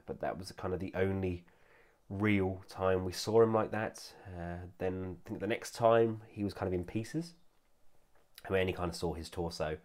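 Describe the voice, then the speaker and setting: Man, low deep voice, nasal, recovering from a cold, casual enthusiastic toy review, chatty with fillers. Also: darkish tone.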